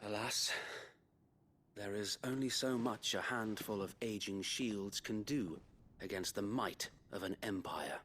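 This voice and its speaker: A gruff aging knight. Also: male voice, lowish voice, measured pace, fairly bright tone. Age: older. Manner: formal, old-fashioned speech. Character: aging loyal knight, resistance leader. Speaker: resistance leader. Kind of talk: video game cutscene acting.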